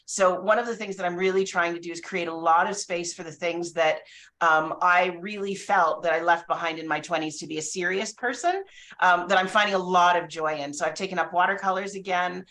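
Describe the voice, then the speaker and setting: A woman in her forties with a lowish voice talks thoughtfully, pausing on fillers as she works through her answer. She is a defence lawyer speaking at an even, measured pace. The sound is that of a video call from home. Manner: reflective, measured, with frequent fillers. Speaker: criminal defence lawyer, guest. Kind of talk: casual panel chat.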